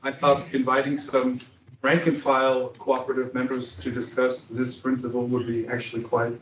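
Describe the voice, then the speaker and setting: A man's voice: medium pitch, little pitch movement, moderate pace. A man moderating a panel discussion, loose and chatty with fillers. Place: large conference hall. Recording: echoey hall, distant dull mic, faint noise.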